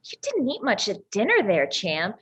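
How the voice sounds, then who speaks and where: A woman playing a mean, sweetly mocking young gothic sister in a theatrical script reading, with drawn-out delivery. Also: mid-range voice, wildly swooping pitch, fairly bright tone, breathy edge, exaggerated voice. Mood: mock sweetness.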